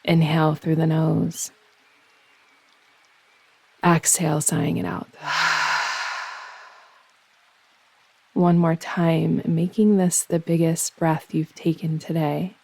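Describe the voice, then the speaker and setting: A woman leads a guided meditation in a husky, very even voice. She gives soothing instructions in unhurried phrases with pauses between them. The recording is close and dry. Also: deep voice, no echo, low-quality recording. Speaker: yoga nidra meditation guide.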